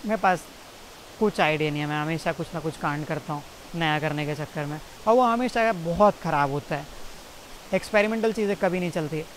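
Young Indian comic, twenties, awkward and self-mocking, swearing, talking to camera outside, distant mic, faint hiss. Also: male voice, on the high side, brisk. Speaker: stand-up comedian. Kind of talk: rambling outtakes to camera, then stand-up set. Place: outdoors by a jungle stream.

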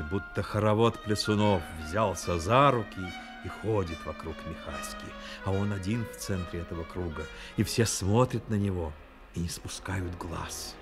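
A man narrating a radio play as a grave storyteller, in a measured, dramatic way. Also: deep voice.

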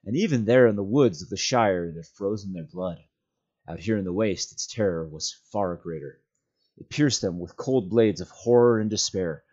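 A man's voice: fairly low pitch, darkish tone, dull audio. A man reading a fantasy novel aloud as a storyteller, a volunteer reader narrating.

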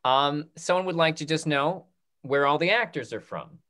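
A man around his thirties moderating a casual question-and-answer session on a video call, restarting phrases in a halting way. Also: on the high side, bright, forceful tone. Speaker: moderator of a panel discussion. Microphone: video call computer microphone.

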